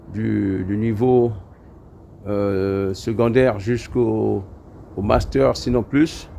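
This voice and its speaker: A man working as a computer trainer, speaking hesitantly with many pauses and fillers. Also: lowish voice, vocal fry, mellow, a little hiss or hum. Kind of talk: spoken appeal to listeners.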